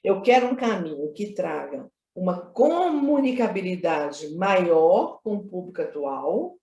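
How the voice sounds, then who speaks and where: A woman's voice: on the low side, expressive, unhurried, dark tone, highs cut off. Echoey woman explaining emphatically. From Minas Gerais, Brazil. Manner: emphatic, repeats phrases, frequent tag questions. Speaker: film director being interviewed. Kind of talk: conversational interview, explaining creative choices. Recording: roomy, echoey sound.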